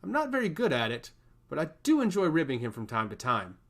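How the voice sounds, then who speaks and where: Casting: male narrator as a smug fantasy emissary and teasing schemer, theatrical, with lively swooping pitch. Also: fairly quick, exaggerated voice. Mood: playful. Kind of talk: fantasy audio story character.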